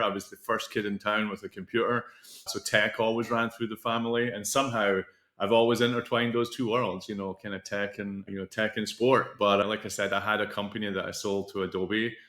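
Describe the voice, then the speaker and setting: A man's voice: fairly low pitch, bright, forceful tone. A middle-aged man, a company founder being interviewed, explaining things in long, flowing runs. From Scotland. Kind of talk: casual interview storytelling. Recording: roomy echo.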